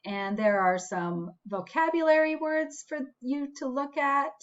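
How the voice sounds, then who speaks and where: A woman school principal reading a picture book aloud to children in an expressive, deliberate, teacherly way, with asides to her listeners. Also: high voice. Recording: dull, lo-fi recording.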